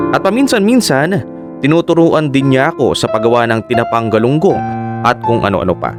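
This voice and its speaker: A man's voice: mid-range voice, lightly acted. A Filipino man playing a fisherman husband, narrating his life story in an earnest, sentimental radio drama style. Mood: reflective, content. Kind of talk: scripted first-person radio drama narration. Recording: piano music bed behind the voice.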